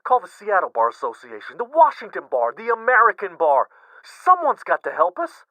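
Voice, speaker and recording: Hearty middle-aged lawyer friend a man plays, swears freely, sharp and animated, dry stage sound. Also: high voice, moderate pace, no echo, pitched above the performer's own voice, exaggerated voice, low-quality recording. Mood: outraged. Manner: hearty, blunt and profane.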